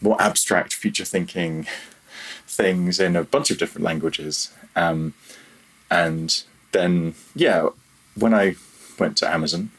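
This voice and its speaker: Guy on a podcast, really low husky voice, rambly and conversational. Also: takes it slowly, a bit bright, poor audio. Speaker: podcast guest, audio programmer. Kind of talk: casual interview, telling own career story.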